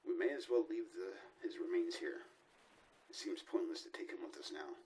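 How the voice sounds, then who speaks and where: Male actor as sci-fi mission leader: very low pitch, hushed and measured, grave authority, audio-drama delivery. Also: very animated, poor audio. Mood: somber, concerned. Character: grave, cautious male leader of a spaceship expedition.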